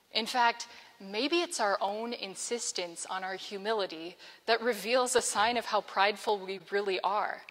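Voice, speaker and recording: A woman's voice: mid-range voice, fairly bright tone. Young woman preaching in a reverberant church. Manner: earnest, measured preaching with storytelling asides. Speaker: vicar. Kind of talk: sermon. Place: large church sanctuary, indoors. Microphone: lapel mic.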